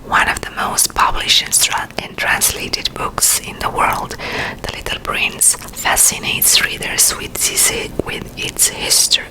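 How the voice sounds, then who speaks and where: Softly whispering woman, close to the mic. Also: measured pace, poor audio. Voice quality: soft unvoiced whisper. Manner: chatty, rambling asides between reading. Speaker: ASMR creator. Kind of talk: whispered ASMR reading. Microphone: close to a sensitive mic.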